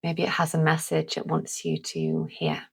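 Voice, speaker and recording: A woman leading a calming guided visualisation in a gentle, deliberate manner with long pauses. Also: deep voice, vocal fry, slightly rough. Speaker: guided meditation host.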